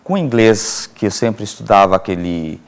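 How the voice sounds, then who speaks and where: A middle-aged man from Brazil, a filmmaker and producer telling stories as a TV interview guest, animated and laughing often, with many fillers and restarts. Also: on the low side, normal speed, gravelly. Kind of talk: casual storytelling in a TV interview.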